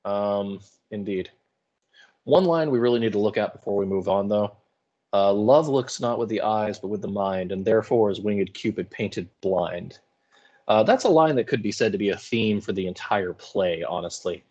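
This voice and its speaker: A man's voice: fairly low pitch, moderate pace, darkish tone, dull audio. A man giving an informal video lecture as an English teacher, with a wry, colloquial way of explaining things. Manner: wry, playfully colloquial paraphrasing. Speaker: high school English teacher.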